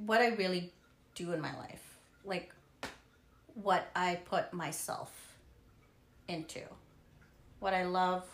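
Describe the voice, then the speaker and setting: A woman in her thirties co-hosting a podcast, talking slowly and searching for words with restarts. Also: medium pitch, bright, forceful tone. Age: 30s. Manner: slow, searching, piles up restarts. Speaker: podcast co-host. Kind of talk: casual chat. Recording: echoey room.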